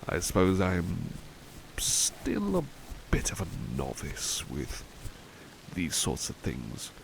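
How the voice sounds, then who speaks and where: A man playing a relaxed, polite, old-fashioned butler in a soft-spoken ASMR roleplay, musing hesitantly in formal wording. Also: low voice, drawn-out words, vocal fry, long pauses, noisy background, full character voice. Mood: musing, modest.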